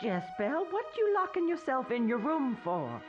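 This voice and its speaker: A concerned, fond country mother. Her voice is fairly high and very animated, coaxing in folksy radio drama style over background music. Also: moderate pace, lo-fi sound. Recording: radio play with music bed.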